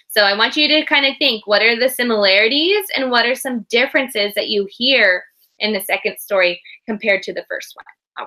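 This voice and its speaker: A woman's voice: on the high side, bright, forceful tone, rough recording. A woman reading a picture book aloud to young children like a teacher, expressively, with explanatory asides and questions.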